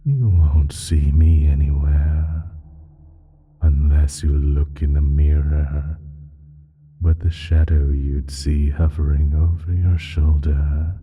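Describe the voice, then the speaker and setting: Ancient nefarious entity for audio drama: bottomless low register, condescending menace, darkly amused, slightly muffled sound. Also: male voice, fairly even intonation, slow, full character voice. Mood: smug, mocking. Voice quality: husky, breathy, very deep. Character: ancient evil male primordial entity, smug and menacing. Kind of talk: slow audio roleplay monologue.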